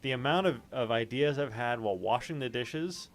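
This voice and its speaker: A man's voice: medium pitch, normal speed, a little hiss or hum. A man hosting a show in casual, conversational talk. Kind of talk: casual chat.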